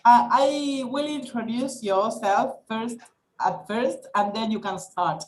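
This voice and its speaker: A woman who is a native Spanish speaker, hosting a webinar with a careful, somewhat halting delivery. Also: fairly high pitch. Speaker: webinar host. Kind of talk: welcoming and introducing a speaker, partly read. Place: indoors on a video call. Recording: echoey video-call audio.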